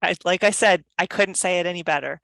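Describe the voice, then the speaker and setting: A woman's voice: lowish voice, a little fast, gravelly, a bit bright, soft background music. A woman presenting at a virtual meeting for a nonprofit, speaking earnestly with many ums and uhs. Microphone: video call.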